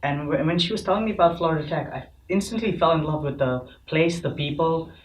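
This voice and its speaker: A man's voice: fairly high pitch, a little fast. Upbeat young man in an echoey room. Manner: upbeat, friendly, with fillers. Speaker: host. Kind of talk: casual panel chat. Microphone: distant mic. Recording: echoey room, mic far off, faint noise.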